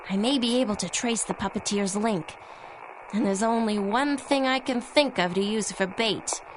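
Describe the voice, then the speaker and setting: Commanding superheroine, high voice, noisy background, played by a woman. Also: close to an everyday voice. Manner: clipped, cool, authoritative. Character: stern, commanding female superhero in red. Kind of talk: 1930s-style radio drama acting. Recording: clear noise behind the voice.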